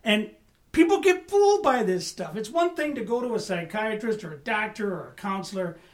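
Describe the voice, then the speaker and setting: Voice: medium pitch, lively intonation, moderate pace. A pastor teaching a Bible study in a conversational way, often asking the room "right?" and "amen?", with bursts of emphasis.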